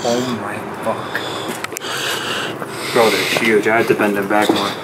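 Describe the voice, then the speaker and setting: A man's voice: fairly low pitch, little pitch movement, measured pace. A young American man chatting casually as he works, slangy and profane. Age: young adult. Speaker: vlogger doing a DIY car project. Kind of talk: casual chat while working. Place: outdoors in a residential driveway by an open garage. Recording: clear outdoor ambient and vehicle noise.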